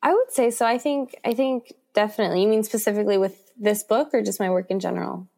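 An American woman speaks as an author in an interview. Her pitch is middling for a woman. She answers conversationally and reflectively, working out her thoughts aloud. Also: fast, creaky, darkish tone. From Washington DC, United States.

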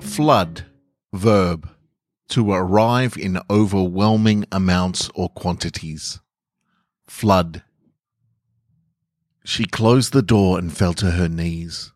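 A man's voice: sits low, slow, long pauses, a little mellow, no echo, clean studio sound, faint music behind. A man hosting a podcast, talking casually and reading short stories aloud as narrator. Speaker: podcast host and story reader.

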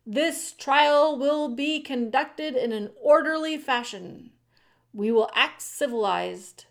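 A woman's voice: high voice, voice pushed up. Woman as a stern, pompous head mouse. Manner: pompous, judicial, measured. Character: stern, formal, most honored old head mouse.

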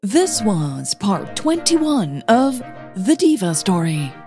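A woman narrating audio fiction as the host, in a measured, deliberate storytelling manner. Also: mid-range voice, a little mellow.

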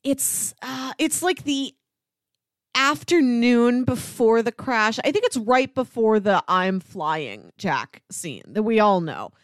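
A woman's voice: a little high, very animated, slow pace, no echo, studio quality. A woman talking casually as a podcast co-host about film, explaining earnestly and peppering her talk with "like".

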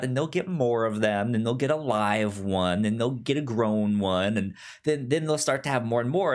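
A man in casual film discussion, stammering on syllables and often halting to rephrase as he goes. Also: fairly low pitch. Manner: halting, rambling, circles back to rephrase. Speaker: film podcast host.

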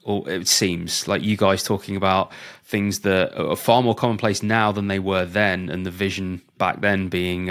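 A man's voice: deep voice, fairly bright tone. A man hosting a health tech podcast, introducing his guest in a chatty, enthusiastic way with frequent ums and restarts. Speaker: podcast host.

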